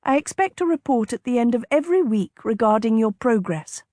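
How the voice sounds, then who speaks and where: A woman's voice: on the high side, animated, a little fast, warm tone, no room sound, studio quality, close to an everyday voice. A woman voicing a spirited, stubborn young noblewoman in an audiobook, with emphatic, formal period phrasing. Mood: teasing. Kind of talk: audiobook character dialogue.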